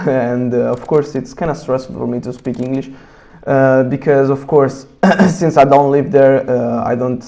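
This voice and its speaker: Man, Italian accent, young adult, non-native English, mellow tone, hesitant, lots of fillers, blunt gaming commentary. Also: mid-range voice, gravelly. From Italy. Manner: hesitant, many fillers and restarts, blunt opinions. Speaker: gaming YouTuber. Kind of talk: casual commentary over gameplay.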